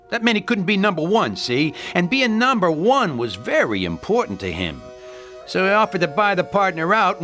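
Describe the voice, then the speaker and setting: An actor playing a cocky, menacing avenger in a crime radio drama, with slangy, taunting, sardonic delivery. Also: male voice, on the high side, fairly bright tone. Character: cocky, menacing male avenger posing as gangster's messenger. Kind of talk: hard-boiled crime radio drama acting.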